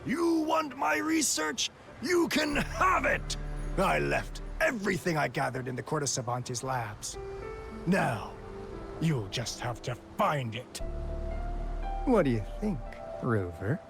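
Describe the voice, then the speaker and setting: An actor playing a playful young investigator in a video game, a teasing storyteller with theatrical delivery and dramatic pauses. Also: male voice, on the high side, animated, vocal fry, exaggerated voice. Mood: dramatic storytelling. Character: roguish young male treasure-hunting investigator, playful storyteller. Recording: music behind the voice. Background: game music.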